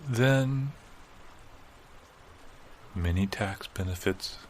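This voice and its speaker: A man in improvised audio comedy playing a solemn, pseudo-profound sage, delivering tangled wisdom deadpan with weighty pauses. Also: sits low, a little hiss or hum. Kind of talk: improvised audio comedy, mock-meditative pronouncements.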